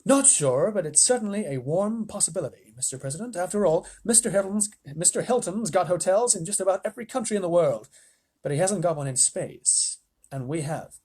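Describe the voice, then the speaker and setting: A man's voice: high voice, lively intonation, brisk, warm tone, slightly rough. A man reading aloud and voicing a twitchy, fawning male chief spy in disguise. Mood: smug.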